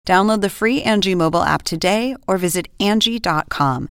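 A woman's voice: medium pitch, expressive, brisk, clear, resonant tone, no room sound. A woman reading a scripted advertisement into a close studio microphone. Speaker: advertisement announcer. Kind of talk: scripted podcast ad read.

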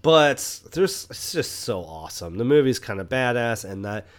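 A man's voice: mid-range voice, very animated, moderate pace. Man chatting casually about films, opinionated. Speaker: podcast co-host. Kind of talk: casual film review chat.

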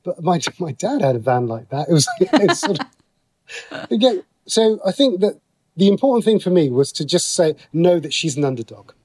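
A man's voice: sits high, big pitch swings, normal speed, darkish tone, breathy edge. A man answering interview questions as a writer, talking casually and breaking into his own sentences as he explains. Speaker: guest, TV and radio writer.

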